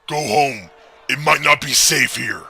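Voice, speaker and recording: A man's voice: mid-range voice, unhurried, creaky, bright, forceful tone, full character voice. A man playing a grim, driven masked vigilante, terse and commanding, in an audio drama. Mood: stern.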